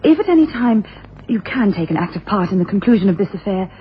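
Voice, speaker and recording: A woman's voice: medium pitch, big pitch swings, fast talker, natural voice. An actress playing an earnest, anxious lady client in a radio drama, polite and eagerly insistent. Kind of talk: 1980s radio drama acting. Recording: dull old radio broadcast, faint noise.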